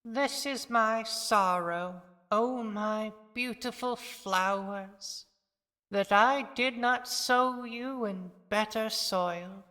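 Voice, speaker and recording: A woman's voice: on the high side, very slow, pushed and bright, full character voice. A woman playing a solemn dead townsperson who speaks from the grave in a poetic audio drama monologue, with long reverb. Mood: sorrowful.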